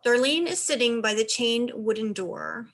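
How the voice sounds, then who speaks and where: A woman serves as narrator of a play reading. Her voice is a little high, and she reads out scripted stage directions between the actors' parts. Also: expressive, moderate pace.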